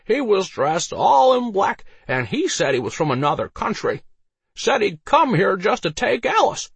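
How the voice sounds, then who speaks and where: Drunk, defiant villager for a fantasy audiobook: high, excitable, slurred, mocking. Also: male voice, normal speed, gravelly, slightly rough, no room sound, full character voice. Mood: drunken, insistent. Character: drunken commoner man, mocking, laughing, defiant.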